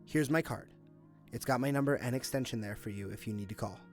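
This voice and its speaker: A man's voice: medium pitch, quick pace, darkish tone, faint music behind, close to an everyday voice. A man playing a cynical, impatient police detective in an audio drama, with a sarcastic, emphatic way of talking. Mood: grave, courteous. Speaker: voice actor.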